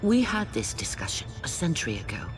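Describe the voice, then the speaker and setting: A grave, ancient alien noblewoman speaks with formal restraint in a low voice for a woman. Loud, ominous game-cinematic music plays behind her. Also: very animated, a little fast. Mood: restrained, reproachful.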